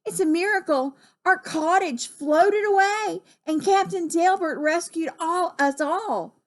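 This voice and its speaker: A woman's voice: sits high, slow pace, a little mellow, voice pushed up. A woman voicing a fretful, affectionate mother toad in a storybook, full of emotional exclamations. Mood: relieved.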